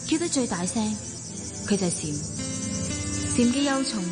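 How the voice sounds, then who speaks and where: A woman's voice: a little high, animated, normal speed, over music, close to an everyday voice. An actress playing a sociable, reflective well-off woman in a radio drama, with a Hong Kong Cantonese accent. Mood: wistful. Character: well-off, sociable, somewhat wistful woman narrating her romance.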